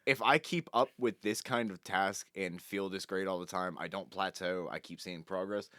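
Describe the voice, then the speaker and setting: Low-voiced laughing male podcast co-host. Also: fairly even intonation, moderate pace, pushed and bright, dry recording, clean studio sound. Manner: chatty, laughs mid-sentence, swears casually. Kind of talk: casual chat.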